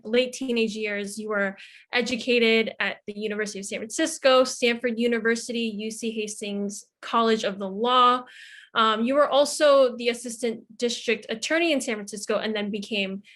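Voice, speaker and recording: A woman's voice: fairly high pitch, fairly bright tone. A woman moderating a panel discussion in a conversational way. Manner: conversational, introducing guests.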